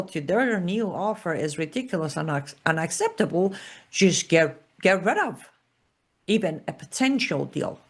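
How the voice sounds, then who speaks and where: A woman from Iran, hosting her own political show in an emphatic, sarcastic opinion monologue. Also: on the low side. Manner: emphatic, sarcastic, punchy repeated phrases. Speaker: political commentator, show host.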